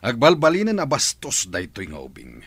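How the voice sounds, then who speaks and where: Stern, husky Ilocano father. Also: medium pitch, wildly swooping pitch, normal speed, fairly bright tone, no room sound, exaggerated voice. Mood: stern, angry. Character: stern, strict father laying down punishment. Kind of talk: Ilocano radio drama acting.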